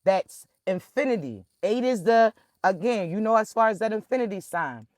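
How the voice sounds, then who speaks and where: A man's voice: sits high, animated, normal speed, no room sound, clean studio sound. A young man, emphatically delivering a spiritual message straight to his listeners. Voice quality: raspy. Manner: emphatic, preacherly, addresses listeners directly. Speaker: spiritual reader. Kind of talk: direct-to-camera spiritual message.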